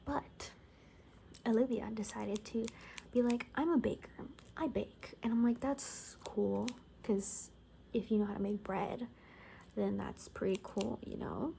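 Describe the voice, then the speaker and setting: A woman speaking softly in an ASMR roleplay as a gossipy survivor, rambling casually and wryly and often dropping into whispers. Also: medium pitch, fairly even intonation, measured pace, gravelly, a little hiss or hum, rough recording. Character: gossipy female bunker survivor in a zombie apocalypse. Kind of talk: soft-spoken ASMR, improvised gossipy storytelling.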